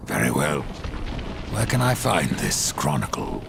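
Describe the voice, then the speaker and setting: A man playing a grim undead horseman in a video game, gravelly, terse and deadpan. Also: very deep voice, unhurried, noisy background, heavily acted. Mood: determined. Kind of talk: video game cutscene acting. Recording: music behind the voice.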